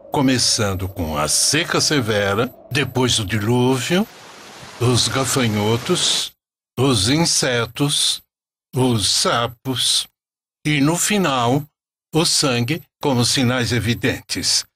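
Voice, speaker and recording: A man's voice: mid-range voice, expressive, slow pace, fairly bright tone, slight background noise. A Brazilian man reading scripted storytelling narration for a religious cartoon.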